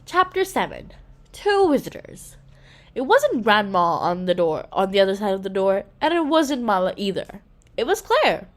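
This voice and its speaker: A woman reading a children's story aloud in an expressive manner, occasionally stumbling and correcting herself. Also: mid-range voice, moderate pace. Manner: expressive, with slips and self-corrections. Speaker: children's book reader.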